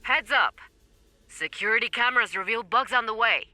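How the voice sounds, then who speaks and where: An actress playing a cool, businesslike military command officer in a video game, briefing in a clipped, formal way. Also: female voice, fairly high pitch, normal speed, noisy background, close to an everyday voice. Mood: alert, businesslike.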